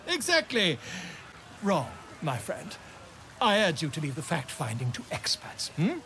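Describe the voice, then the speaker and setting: An actor playing a pompous, self-important celebrity author in a video game, speaking in a grandiose, florid manner. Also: male voice, fairly high pitch, wildly swooping pitch, measured pace, pushed and bright, slight background noise, exaggerated voice. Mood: flattering, dismissive. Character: pompous, theatrical male bard and celebrity author. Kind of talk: video game character dialogue.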